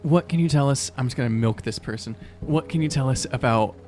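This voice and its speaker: A man playing a tabletop roleplaying game, improvising casually in a halting way and repeating words. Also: medium pitch, a little mellow, faint music behind. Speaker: player in an actual-play podcast.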